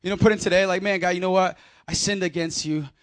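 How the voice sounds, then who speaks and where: A man's voice: high voice, steady tone, fast, fairly bright tone, dry recording. A man preaching a sermon at a conference in a casual, emphatic way, with slang and calls for the crowd to repeat after him. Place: conference hall.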